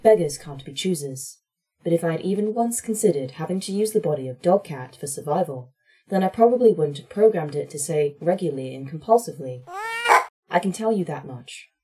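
A woman voicing a composed, wry artificial intelligence in a creature's body for an audio drama, measured and husky. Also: deep voice, lively intonation, mellow, rough recording. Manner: measured, dry, resigned.

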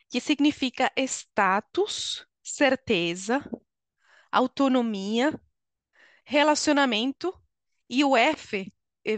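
A woman's voice: fairly high pitch, fairly bright tone, dry recording. A middle-aged woman with a Venezuelan Spanish accent, explaining as a podcast guest. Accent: Venezuelan Spanish accent in Portuguese. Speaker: guest, HR consultant and coach. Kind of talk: explanatory podcast interview talk.

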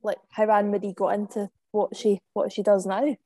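A woman's voice: medium pitch, little pitch movement, fairly quick, warm tone, no echo. A woman hosting a video call, chatty and a little flustered while sharing her screen. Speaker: host. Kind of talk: casual chat on a video call.

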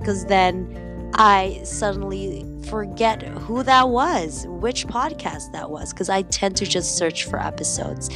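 A young woman from the Philippines with a slight Filipino accent, hosting her own podcast in a chatty, upbeat way. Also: medium pitch. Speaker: podcast host. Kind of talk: casual solo podcast chat.

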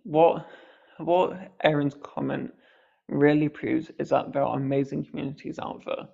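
A man's voice: a little high, fairly even intonation, unhurried, gravelly, a little mellow, dull audio. A man hosting a podcast, speaking earnestly with a mild stammer on the odd word. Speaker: podcast host. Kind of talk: reflective podcast commentary.

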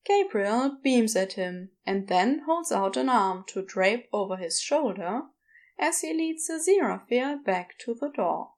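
A woman's voice: a little high, lively intonation, a little slow, darkish tone. A woman narrating a scripted story in an audiobook style.

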